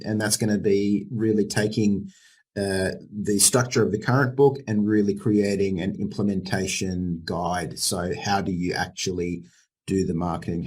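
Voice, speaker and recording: A man's voice: low voice, slightly rough. A man being interviewed about his book, speaking easily and laughing often, sometimes repeating words as he starts. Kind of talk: relaxed interview answers.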